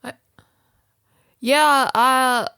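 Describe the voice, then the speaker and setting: Woman playing clear-voiced, gung-ho veteran coach. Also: high voice, fairly even intonation, very slow, bright, forceful tone, exaggerated voice. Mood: folksy, determined. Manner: folksy, emphatic, motivational. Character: plainspoken, gung-ho veteran school sports coach Dan. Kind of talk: improvised comedy podcast role-play.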